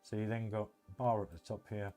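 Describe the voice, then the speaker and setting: A man's voice: on the low side, flat delivery, a little fast, warm tone, soft background music. A British man hosting a game livestream, chatting informally and rambling as he thinks aloud. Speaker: livestream host. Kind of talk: casual game livestream commentary.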